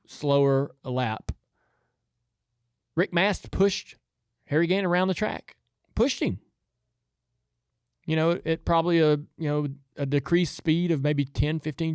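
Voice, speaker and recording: Man, Southern US accent, fairly high voice, dry close sound, rambling casual podcast talk. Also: smooth, no echo. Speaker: podcast host. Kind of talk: casual chat about racing.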